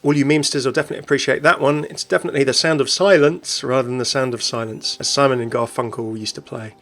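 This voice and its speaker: A man's voice: mid-range voice, fairly even intonation, quick pace, a bit bright. A man narrating a gaming commentary voice-over. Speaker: video creator, narrator. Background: faint music.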